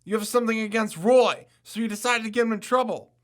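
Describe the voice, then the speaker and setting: An actor playing a brash, suspicious young amateur detective in an audio drama, with pointed, accusatory questioning. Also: male voice, high voice, quick pace, lightly acted. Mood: accusing. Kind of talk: scripted audio drama acting.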